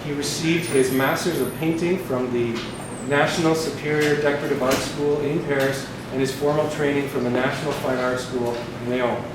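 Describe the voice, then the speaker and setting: A man's voice: mid-range voice, steady tone, moderate pace, hardly any pauses. A man hosting at a gallery, giving a formal introduction of a guest artist. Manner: formal, ceremonial. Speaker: gallery host. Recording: echoey gallery, distant and noisy.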